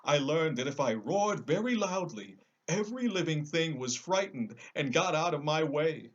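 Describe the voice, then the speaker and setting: A big cowardly lion from a storybook, played by a man, pleading in a high, swooping voice. He is plaintive and timid, full of self-pity and theatrical sorrow. The recording is slightly dull. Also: very animated, normal speed. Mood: sad, confessing. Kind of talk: storybook character voice.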